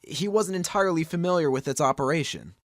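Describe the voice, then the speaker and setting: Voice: mid-range voice, fast, no echo, studio quality, close to an everyday voice. An actor playing an earnest, dogged young male defense lawyer in a fan-dubbed courtroom drama, pressing hard yet sometimes unsure. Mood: confident. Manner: pressing, declamatory, hesitant asides. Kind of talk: fan dub courtroom game acting. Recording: amateur dub with clear music behind.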